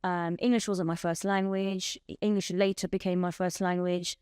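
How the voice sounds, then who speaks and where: A woman in her thirties, born in Bangladesh with Bengali as her first language, speaking as a podcast guest in an earnest, measured way and telling her story in long lists. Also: fairly low pitch, little pitch movement, a little mellow, clear, resonant tone, no room sound, clean studio sound. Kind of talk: personal testimony in an interview.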